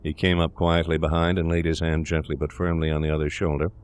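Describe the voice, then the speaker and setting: A man's voice: a bass voice, little pitch movement, fast, smooth. A man reading scripted literary narration as the narrator of a gothic tale. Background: eerie ambience.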